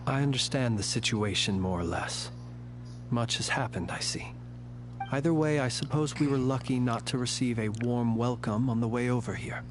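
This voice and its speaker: A man's voice: medium pitch, normal speed, natural voice. An actor playing a composed, courteous, mysterious soldierly man in a video game, speaking formally and with measure, over a noisy hum. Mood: calm, resolute. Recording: clear hum and background noise.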